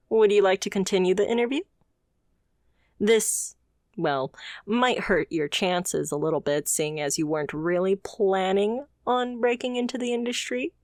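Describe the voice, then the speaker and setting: A woman acts out a supervillainess in an intimate ASMR roleplay. She speaks low and slowly, with confident, condescending poise and a teasing, amused edge. The sound is dry, with hardly any room in it. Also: no echo. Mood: businesslike, amused. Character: confident female supervillain boss, haughty yet playfully amused.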